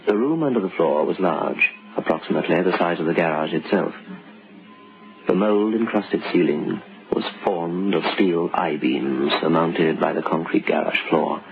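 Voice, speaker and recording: Guy narrating old time radio horror, formal storyteller, deep creaky voice, kinda lo-fi. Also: moderate pace. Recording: dull old radio recording, music underneath.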